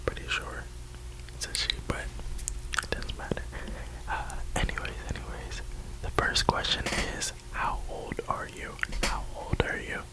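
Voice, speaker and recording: A man's voice: measured pace, low-quality recording. A young man in his early twenties, from New York and Florida, whispering through a rambling Q&A and repeating his words, sitting close to the mic. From New York and Florida, USA. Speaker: ASMR creator. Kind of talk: whispered ASMR Q&A.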